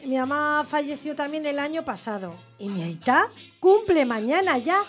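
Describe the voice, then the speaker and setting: A woman's voice: high-pitched, animated, moderate pace. A woman with a Basque Country Spanish accent playing a cheerful, rambling grandmother in her sixties calling a radio quiz. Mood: chatty, homely. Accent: Spain, Basque Country. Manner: rambling, effusive, homely. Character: chatty, cheerful widowed grandmother of sixty-six phoning in. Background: music.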